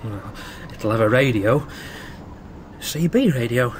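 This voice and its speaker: An anxious stranded companion in a radio drama. His pitch swings widely as he talks in an edgy, plaintive, defensive way, sceptical and quick to take offence. Faint noise sits on the recording. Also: animated. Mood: uneasy.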